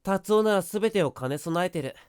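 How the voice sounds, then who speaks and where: A performer playing an energetic, teasing teenage schoolboy in an anime-style voice drama, with boyish, slangy speech. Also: medium pitch, normal speed, clean tone, dry recording, studio quality, close to an everyday voice. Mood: earnest, persuasive. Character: energetic, upbeat teenage schoolboy, passionate dancer.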